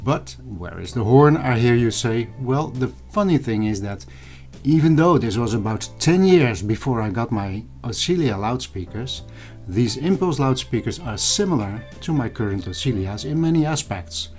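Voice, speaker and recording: A middle-aged man hosts a scripted vlog with a medium-pitched voice. He explains things in a measured way and talks straight to the viewer, with clearly audible guitar music playing behind him. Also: animated, darkish tone. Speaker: video channel host. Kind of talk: scripted vlog narration over old photos and footage.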